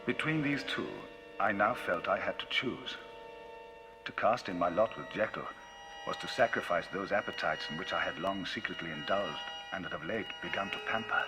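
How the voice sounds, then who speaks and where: A man giving a dramatic, theatrical reading of a classic novel as the narrator of a vinyl audiobook, his pitch and loudness rising and falling widely. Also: expressive, moderate pace. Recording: vinyl audiobook with music bed. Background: music.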